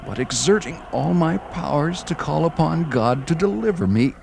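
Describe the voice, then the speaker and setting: An actor playing an earnest young religious visionary, solemnly and deliberately recounting his vision in formal old-fashioned language in an audio drama. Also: on the high side, lively intonation, creaky, noisy background. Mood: alarmed, desperate. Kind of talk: dramatized first-person narration, audio drama.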